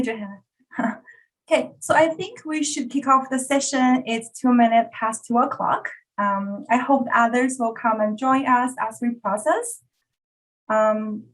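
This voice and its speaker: A woman from China, speaking English as a second language, opening an online workshop with measured, hesitant phrasing over a video call. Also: fairly high pitch, poor audio. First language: Chinese. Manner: measured, with frequent ums and uhs. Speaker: workshop facilitator.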